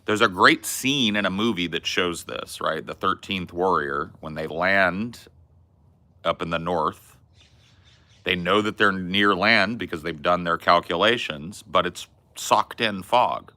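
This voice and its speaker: A man co-hosting a podcast, telling stories in a rambling, laid-back way with a loud, resonant voice. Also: low-pitched, a little slow, dry recording. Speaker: podcast co-host. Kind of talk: casual chat.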